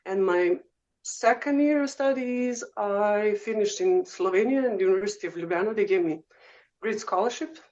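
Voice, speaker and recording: A woman's voice: mid-range voice, normal speed, a little mellow. A woman from Serbia in her thirties with a Serbian accent, a guest telling her story in a casual interview, chatty and full of ums. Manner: chatty, frequent "um" and "uh", laughs easily. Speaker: guest oboe professor. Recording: slightly muffled lo-fi audio.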